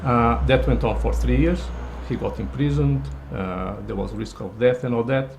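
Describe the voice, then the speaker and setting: A man in his sixties, telling a personal family story with hesitant pauses and fillers. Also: medium pitch, creaky, a little mellow, a little hiss or hum. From Brazil. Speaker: interviewee.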